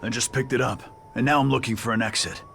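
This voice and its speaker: A man's voice: medium pitch, steady tone, fast, gravelly, a bit bright, a little hiss or hum. A man voicing a stoic, battle-hardened hero rabbit in a video game, terse and matter-of-fact. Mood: calm, terse. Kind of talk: video game cutscene acting.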